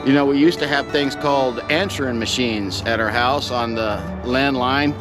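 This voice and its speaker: Middle aged guy with a medium pitched voice, folksy and sincere, telling a personal story, music behind him. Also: moderate pace. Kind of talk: reflective, personal storytelling to camera.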